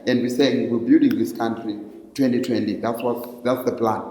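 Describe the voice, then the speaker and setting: A man's voice: medium pitch, fairly even intonation, moderate pace, dark tone, reverberant, big-room sound, poor audio. A Zimbabwean man hosting a talk show, switching between English and Shona and murmuring agreement as his guest talks. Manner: mixes English and Shona, frequent affirming murmurs. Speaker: host.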